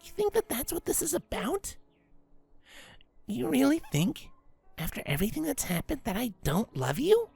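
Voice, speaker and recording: A woman playing a protective adult female pegasus, casual and earnest, with a slightly gravelly voice in an audio story. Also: high-pitched, huge pitch swings, normal speed, long pauses, a little mellow, faint music behind, heavily acted. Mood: tender, earnest. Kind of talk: dramatic audio story acting.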